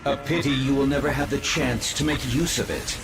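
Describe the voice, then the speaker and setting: An actor voicing a cold, grandiose, vengeful killer robot in a video game, with ominous, pompous delivery. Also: male voice, medium pitch, brisk, gravelly, close to an everyday voice. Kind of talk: video game cutscene acting. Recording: loud music behind. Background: loud music.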